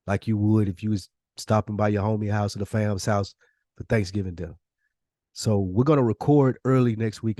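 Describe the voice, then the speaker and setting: A man's voice: lowish voice, a little mellow, dry recording, studio quality. An American man co-hosting a podcast in casual, slangy chat, with a blunt, emphatic way of talking. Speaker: podcast co-host.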